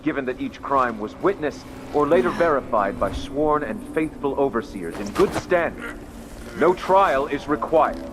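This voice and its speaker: A man's voice: medium pitch, animated, moderate pace, noisy background, natural voice. A man playing a stern religious overseer in a video game, speaking formally and declaiming like a judge. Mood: cold, condemning. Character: stern male religious overseer passing sentence. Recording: loud game soundtrack behind voice.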